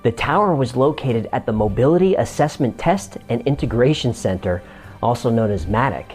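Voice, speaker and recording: Male explainer host over soft music. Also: mid-range voice, expressive, moderate pace. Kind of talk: scripted explainer narration with comic banter.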